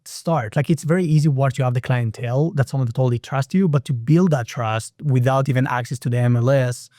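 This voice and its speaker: A man's voice: medium pitch, a little fast, mellow, no echo, clean studio sound. A man from Israel with an Israeli accent, a native Hebrew speaker, explaining lending as a podcast guest with frequent restarts. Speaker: private real estate lender, guest. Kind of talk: casual podcast interview.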